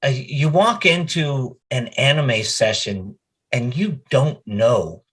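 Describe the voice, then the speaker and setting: A man's voice: medium pitch, takes it slowly, fairly bright tone, breathy edge. A man speaking as a voice actor being interviewed, chatting casually and telling stories with quoted bits of conversation.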